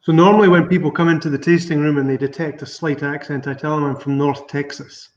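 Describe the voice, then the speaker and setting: A man's voice: on the high side, steady tone, fast talker. A middle-aged man from Scotland with a Scottish accent, speaking as a winemaker guest on a video call with a dry, self-deprecating humor. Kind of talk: casual video call interview. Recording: roomy, distant, dull video call audio.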